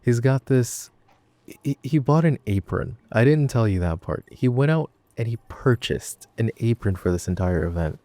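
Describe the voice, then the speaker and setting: A man's voice: mid-range voice, expressive, warm tone, dry recording, lightly acted. A young man playing a chatty, teasing college best friend in an intimate roleplay, rambling wryly and interrupting himself. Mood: amused, animated. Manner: rambling, wry, halting with self-interruptions. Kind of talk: intimate boyfriend roleplay audio, casual storytelling.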